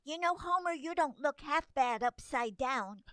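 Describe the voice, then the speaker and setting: A woman's voice: sits high, animated, unhurried, fairly bright tone, no room sound. A woman playing a bratty, blunt young tomboy in an old-time radio comedy. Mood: defiant. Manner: blunt, defiant. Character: Irma, bratty, blunt tomboy neighbor girl.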